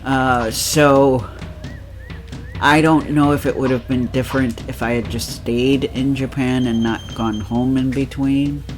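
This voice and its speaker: A man's voice: medium pitch, fairly even intonation, measured pace, music in the background. A man with a nasal voice chatting casually while playing a game, rambling with lots of fillers and laughs. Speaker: let's play co-host. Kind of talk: casual chat over gameplay.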